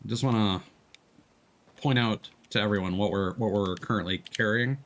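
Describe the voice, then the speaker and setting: A man's voice: fairly low pitch, normal speed, slight background noise. A man giving casual, playful live game commentary and chatting with viewers, swearing freely. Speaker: live streamer, gamer.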